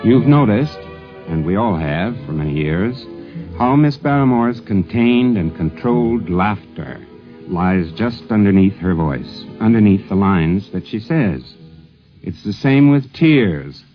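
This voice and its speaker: A man hosting a radio program, giving measured, reflective scripted commentary. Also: lowish voice, expressive, soft background music. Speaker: radio host. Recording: dull vintage radio recording.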